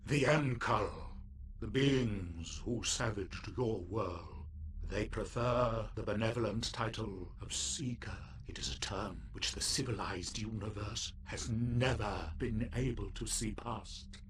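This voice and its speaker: A man playing a wise, solemn alien mentor in a video game, speaking formally and reverently with breathy phrase endings. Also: on the low side, fairly bright tone. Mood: grave, calm. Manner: slow, reverent, formal, with pauses. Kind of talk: cinematic video game acting. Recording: clear background ambience noise.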